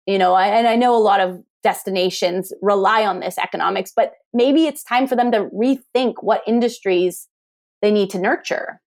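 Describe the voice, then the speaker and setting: A woman's voice: medium pitch, moderate pace, a little mellow. An American woman, a tourism academic, talking through ideas in an interview, often posing rhetorical questions and restarting phrases. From United States. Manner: thoughtful, asks rhetorical questions, frequent restarts. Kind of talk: conversational interview.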